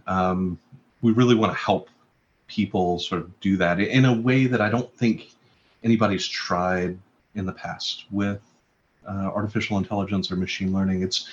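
A man with a very deep voice speaks plainly and matter-of-factly as an interview guest. His audio sounds slightly dull and lo-fi, as if it came from a modest remote mic. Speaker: guest, chief architect. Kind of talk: conversational interview.

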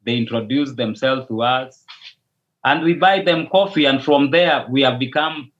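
A man with a Kenyan accent, a pastor, speaking slowly and carefully on a video call, with hesitations and restarts. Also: medium pitch, fairly bright tone, dull audio. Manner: slow, measured, with hesitations and restarts. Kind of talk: casual video call interview.

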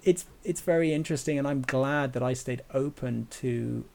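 A man with a gravelly, fry-edged voice talks casually on a podcast. He often stumbles, repeating words and restarting his thoughts as he goes. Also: medium pitch, moderate pace, a little mellow. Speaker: podcast host. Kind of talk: casual conversation.

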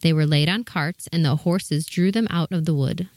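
A woman's voice: sits low, normal speed, a little mellow, no room sound, clean studio sound. A woman reading scripted storybook narration as the narrator of a fairy tale.

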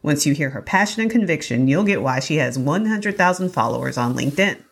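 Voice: mid-range voice, animated, a little fast, gravelly, breathy edge. A podcast host introducing a guest and asking questions in an upbeat, encouraging way. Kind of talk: podcast interview.

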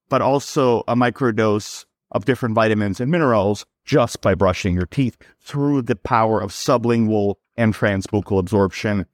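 A middle-aged man tells his founder story in a steady, mid-pitched voice, picking his words as he goes and repeating a few of them. The sound is dry, with no room echo around him. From born in Serbia, raised in New York. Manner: fluent storytelling with frequent word repeats. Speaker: company founder, guest. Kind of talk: podcast interview answers.

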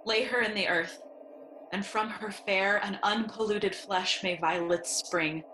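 A woman playing a young nobleman mourning a sister with furious grief in a Shakespeare audio drama, declaiming forcefully. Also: mid-range voice, fairly even intonation, unhurried. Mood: bitter grief. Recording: echoey space with music. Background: music.

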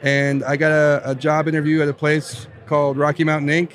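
Upbeat guy in his late 20s, kinda high voice, chatty, keeps saying right. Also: completely flat delivery, brisk. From Southern Virginia. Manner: upbeat, chatty, laughs often, says "right?". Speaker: guest. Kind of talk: casual podcast interview.

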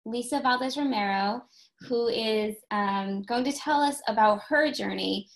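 A woman host introducing the next guest, hesitant and full of ums. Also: fairly high pitch. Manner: hesitant, halting, many ums.

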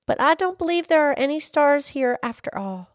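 A woman's voice: sits high, lively intonation, normal speed, no room sound, clean studio sound, voice pushed up. A woman voicing a polite, determined little girl in a folk tale. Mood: polite doubtful.

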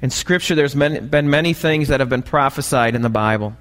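A male pastor with an American accent and a slightly raspy voice, preaching a sermon and often checking in with short questions to the congregation. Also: medium pitch, a little fast, fairly bright tone. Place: church, preaching live. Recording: live church recording, long hall reverb.

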